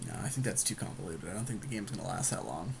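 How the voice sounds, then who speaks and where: A man's voice: low voice, lively intonation, fast, creaky, a little hiss or hum. A man co-hosting a card game podcast, chatting casually and chiming in with short, agreeable comments on the plays.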